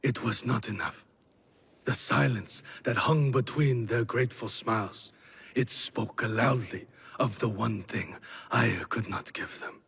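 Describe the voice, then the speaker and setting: An actor playing a weary, remorseful leader in a video game, speaking gravely with a husky, gravelly voice and halting delivery. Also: male voice, medium pitch, fairly bright tone, exaggerated voice. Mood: grave, sorrowful. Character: weary, remorseful, solemn male leader doubting his cause. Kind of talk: dramatic video game acting.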